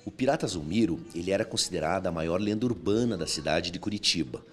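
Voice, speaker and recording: A man's voice: low voice, lively intonation, fast talker, soft background music. A man, a researcher explaining history in a TV interview. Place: small indoor restaurant room. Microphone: handheld microphone.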